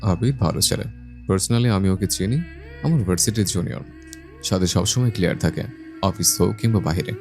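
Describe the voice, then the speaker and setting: A man's voice: low-pitched, normal speed, a little mellow. A man playing a self-assured, blunt older brother in a romantic audio story. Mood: calm, assured. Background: background music.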